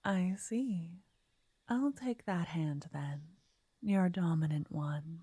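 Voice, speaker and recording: A woman playing a gentle, flirty fortune teller in an ASMR roleplay, speaking softly in a breathy half-whisper, coaxing and soothing the listener up close. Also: on the low side, slow, no room sound. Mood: warm, intrigued. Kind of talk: ASMR roleplay, intimate scripted address to listener. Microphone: close, dry microphone.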